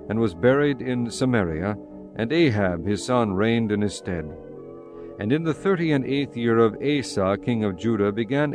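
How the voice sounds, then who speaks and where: A man narrating a dramatized Bible from a script in a solemn, measured storytelling manner. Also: fairly low pitch, darkish tone.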